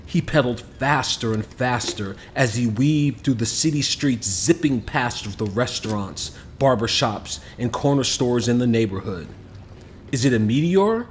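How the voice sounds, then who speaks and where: A man reading a children's picture book aloud as an expressive scripted narration, with music behind him. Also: mid-range voice, measured pace, hardly any pauses, slight background noise. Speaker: storybook narrator. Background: background music.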